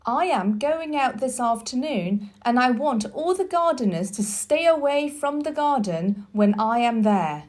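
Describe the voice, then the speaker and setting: Woman playing an excitable, high-pitched young boy. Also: measured pace, few pauses. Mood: imperious. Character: excitable young boy invalid, imperious, full of wonder.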